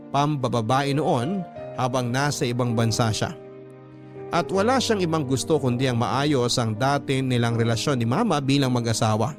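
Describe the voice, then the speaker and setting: A man with a Filipino accent reading a scripted first-person story as a radio drama narrator. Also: medium pitch.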